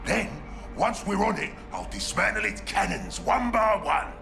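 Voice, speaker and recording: Gravelly ork warboss, high bellowing voice, wild swings in pitch, crude and cocky. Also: male voice, animated, measured pace, faint music behind, exaggerated voice. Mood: boisterous. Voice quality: gravelly shouting, crude slang. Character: brutish loud ork warboss, cocky and boastful.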